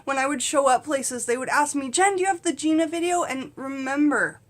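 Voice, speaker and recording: A middle-aged woman with a fairly high voice talks candidly to her camera. She tells her story in a casual, comedic style, animated and conversational, like a vlog host chatting with her viewers. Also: a little fast. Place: small indoor space in front of a curtain.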